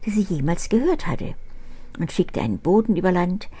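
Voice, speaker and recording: A woman in her seventies reading a fairy tale aloud at home in an expressive, lively way, with a camera set up at table distance. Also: on the low side, normal speed, slightly rough. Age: older, about 70s. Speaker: storyteller reading fairy tales. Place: cozy home study with bookshelves, indoors.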